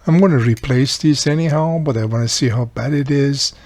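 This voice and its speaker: Gravelly, husky man explaining repairs. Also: mid-range voice, fairly quick, a little mellow. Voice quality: raspy, gravelly. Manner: explains step by step, thinking aloud. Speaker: repair video host. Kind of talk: casual hands-on tutorial narration.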